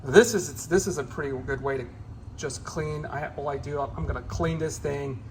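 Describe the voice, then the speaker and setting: A man giving a casual, unscripted hands-on demonstration as a gear hobbyist, in a halting, repetitive, rambling way. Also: a little high, a little hiss or hum.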